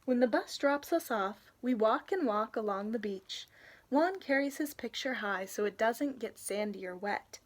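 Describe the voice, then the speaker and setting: A woman's voice: on the high side, expressive. A young adult woman reading a children's picture book aloud in an informal storytelling manner. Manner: informal, pauses to point out illustrations.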